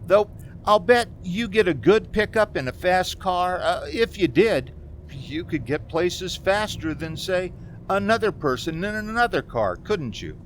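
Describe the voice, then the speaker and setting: A man acting a high-voiced, haunted young male driver. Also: animated, a little slow. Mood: nervous, halting. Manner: stammering, hesitant, pleading. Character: anxious, haunted young man driving cross-country alone. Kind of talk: 1940s-style radio drama acting and narration. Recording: faint background noise, community theater recording.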